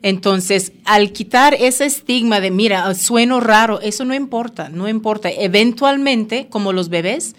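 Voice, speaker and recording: Jamaican woman explaining with steady encouragement. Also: mid-range voice, measured pace, hardly any pauses. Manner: encouraging, explanatory, frequent tag questions. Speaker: language school representative. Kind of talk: conversational interview.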